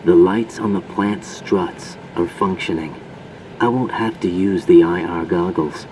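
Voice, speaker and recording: Deep, distant-sounding covert field agent. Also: male voice, measured pace. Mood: terse, alert. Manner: terse, matter-of-fact. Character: cool, confident male special forces infiltrator. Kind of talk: radio report, video game acting. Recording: distant, heavy humming ambient noise.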